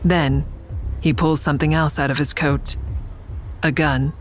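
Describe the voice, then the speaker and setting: A woman playing a guarded, tense heroine in an audio drama, telling her story in a measured, deliberate, suspenseful way. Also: deep voice, little pitch movement, soft background music. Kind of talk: first-person audio drama narration and dialogue.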